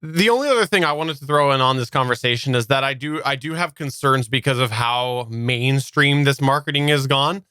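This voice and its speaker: A man's voice: medium pitch, a little fast, few pauses, sharp tone, clear, resonant tone, dry recording. A man from Canada co-hosting a podcast, giving his opinions in casual conversation. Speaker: podcast co-host.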